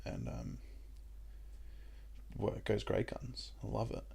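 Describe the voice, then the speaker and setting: A young Australian man with a croaky voice, chatting casually and rambling with lots of ums while he plays a puzzle game. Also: lowish voice, slow. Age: young adult. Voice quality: croaky, gravelly voice. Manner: rambling and relaxed, with many ums. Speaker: gaming YouTuber. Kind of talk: casual let's-play commentary.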